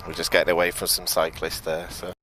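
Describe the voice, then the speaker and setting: A man with a British accent giving relaxed live commentary outdoors at an airport, chatting to viewers with frequent ums and self-corrections. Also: sits low, normal speed, a little hiss or hum. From United Kingdom. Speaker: live plane-spotting commentator. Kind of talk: live-stream commentary, reading out viewer chat.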